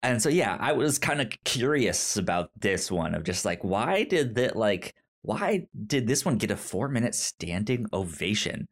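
A podcast co-host chatting casually about a film, haltingly, often repeating words and acting out their own reactions. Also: medium pitch, big pitch swings. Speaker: podcast co-host reviewing films. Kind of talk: casual chat, film review.

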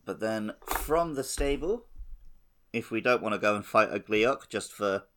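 A man with a fairly low, very animated voice co-hosts a podcast. His chat is casual and playful, with a wry edge. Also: measured pace. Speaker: podcast host.

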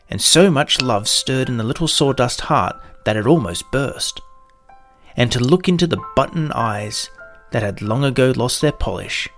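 A man reading a scripted children's story as its narrator. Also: medium pitch.

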